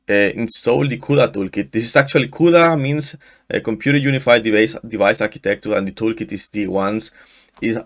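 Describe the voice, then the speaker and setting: Mexican-accented man giving a software tutorial. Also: fairly low pitch. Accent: Mexican Spanish. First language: Spanish. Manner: halting, frequent restarts and fillers. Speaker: tutorial host. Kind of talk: screen-share software tutorial. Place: small room indoors. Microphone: headset.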